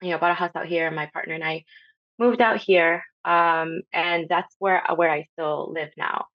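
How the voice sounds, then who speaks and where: A woman in her thirties from Honduras, a podcast guest talking casually with many pauses and ums. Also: fairly low pitch, fairly even intonation, dry recording. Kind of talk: casual interview talk. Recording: slightly dull lo-fi audio.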